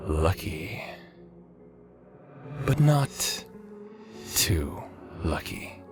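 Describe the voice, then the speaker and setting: A man voicing an ancient world-ending demon villain, grandiose and ominous, with drily self-mocking, drawn-out delivery in a fantasy audio roleplay. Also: deep voice, pitched below the performer's own voice, full character voice.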